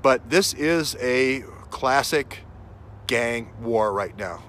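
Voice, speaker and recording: Male street vlogger, bright mid-pitched voice, emphatic stop-start improvised commentary, light urban noise behind. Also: animated. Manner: halting, emphatic, repeats phrases for stress. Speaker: vlogger, self-styled investigator. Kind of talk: unscripted outdoor vlog monologue, speculative commentary. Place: outdoors on a city street. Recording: outdoor street noise with traffic. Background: faint urban ambience and vehicles.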